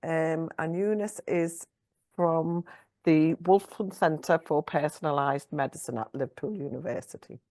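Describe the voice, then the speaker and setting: A woman with a low voice hosts an online forum and introduces speakers in a brisk, upbeat way. The sound is dry and close, with no room around it. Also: dark tone, no echo. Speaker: forum host.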